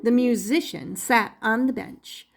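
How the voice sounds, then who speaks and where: High-voiced older woman reading slowly. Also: vocal fry. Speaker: storyteller reading a children's book aloud. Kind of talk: expressive children's storybook narration.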